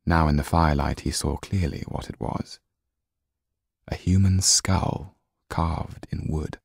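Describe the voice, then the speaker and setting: A man narrating a novel as an audiobook in expressive, measured storytelling. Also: low voice, gravelly, husky, no room sound, clean studio sound.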